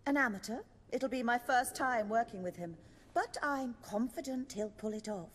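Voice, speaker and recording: A woman playing a refined, self-assured stage actress and director in a video game, speaking in a measured, articulate, theatrical way. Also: on the high side, long reverb tail, lightly acted. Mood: poised. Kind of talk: video game dialogue acting.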